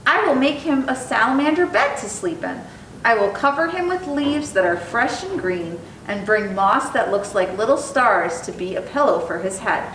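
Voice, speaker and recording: In an echoey room, slightly far from the mic, a woman reads as an earnest young boy from a storybook. He is eager and full of imagination, with careful phrasing and a lively, rising and falling voice. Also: normal speed. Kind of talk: children's storybook reading. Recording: echoey room, distant mic, faint rumbling noise.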